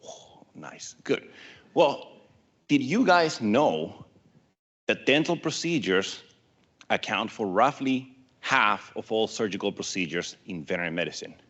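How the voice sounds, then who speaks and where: A man from Argentina with an Argentine Spanish accent, giving a startup pitch on stage and joking with the audience. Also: expressive, unhurried, pauses often, fairly bright tone, highs cut off. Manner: self-deprecating, joking with the audience.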